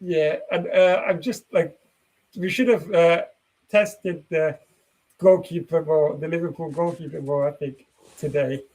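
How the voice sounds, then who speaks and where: A man speaks in a voice pitched a little higher than most men's. He chats informally, as a fan giving opinions on a football call-in. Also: steady tone, measured pace, darkish tone, poor audio. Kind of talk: casual chat.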